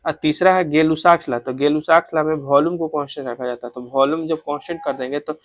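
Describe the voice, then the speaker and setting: A man with a Bihari accent and a slightly high voice teaches in an informal coaching style. He poses questions, answers them himself and repeats the facts, dropping in English terms. The sound is dry, with very little room echo. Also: steady tone, quick pace. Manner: repetitive, drilling facts with self-posed questions; mixes in English technical terms. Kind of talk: informal exam revision lesson.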